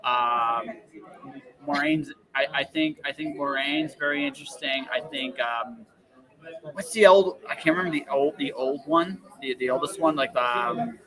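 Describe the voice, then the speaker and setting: A man hosting a casual interview in a rambling, halting, self-deprecating way, often repeating himself. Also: mid-range voice, crowd noise. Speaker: interview podcast host.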